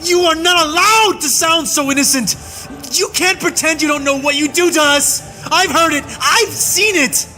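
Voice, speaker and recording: A man playing an enraged human soldier, tied up and hurling a breathless, shouted tirade of hatred in an audiobook. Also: high voice, steady tone, unhurried, creaky, few pauses, faint music behind, pitched above the performer's own voice, heavily acted. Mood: raging. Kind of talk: audiobook reading.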